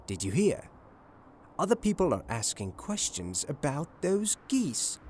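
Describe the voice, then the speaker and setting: A man playing a confident, shrewd detective in a dramatised audiobook, polite, measured and knowing. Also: a little high, sing-song, darkish tone, a little hiss or hum. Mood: satisfied, intrigued.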